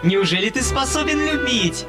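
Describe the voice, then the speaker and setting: A man reading an audiobook, voicing an excitable older spinster woman who gushes in breathless exclamations. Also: extremely high pitch, normal speed, over loud music, pitched above the performer's own voice. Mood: ecstatic.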